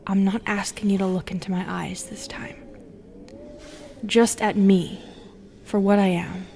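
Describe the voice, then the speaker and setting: A woman acts out a lonely female Medusa, wounded and wary, in an audio roleplay. She speaks slowly in a mid-pitched, breathy voice that often sinks to a whisper, halting as she goes, over faint background ambience. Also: steady tone, slight background noise. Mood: guarded, cautious. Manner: hesitant, halting, soft and breathy.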